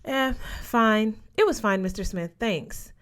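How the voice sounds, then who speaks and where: A woman's voice: a little high, slow, a bit bright. A woman voicing a frank, wry teenage schoolgirl in casual dialogue. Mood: reluctant.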